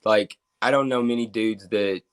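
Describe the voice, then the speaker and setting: A man in his twenties with a Kentucky accent speaks as a guest, with a fairly low voice. He talks openly and at length, often using "like" and "um" as fillers. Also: moderate pace, clean tone. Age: 20s. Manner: open and talkative, with frequent fillers. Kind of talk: casual interview, telling his life story.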